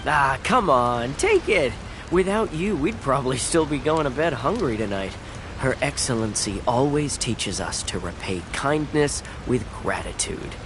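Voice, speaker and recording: A man voicing a grateful, warm-hearted island fisherman in a video game, talking in a folksy, rambling way with hesitant fillers. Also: medium pitch, animated, unhurried, background noise, close to an everyday voice. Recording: game music underneath.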